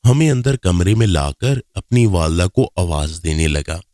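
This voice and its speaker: Guy reading out a story in first person, deep voice, really dry recording. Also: animated, measured pace, warm tone, dry recording. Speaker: story narrator.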